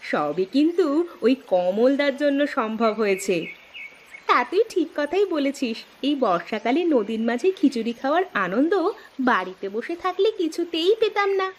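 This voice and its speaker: A woman voicing a cheerful, chatty village woman in a cartoon, expressive and gossipy. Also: sits high, normal speed, slight background noise. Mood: happy. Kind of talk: cartoon voice acting.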